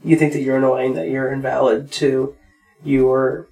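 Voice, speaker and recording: A man with a mid-pitched, gravelly voice and plenty of vocal fry. He co-hosts a podcast in a relaxed, reflective and plain-spoken way. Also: a little slow, poor audio. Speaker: podcast co-host. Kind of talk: casual conversation.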